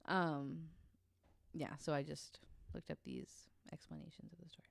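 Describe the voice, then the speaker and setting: A woman's voice: sits low, very animated, normal speed, creaky, no room sound. A woman telling a researched story on a podcast in a casual, conversational way, with an informal, hesitant delivery.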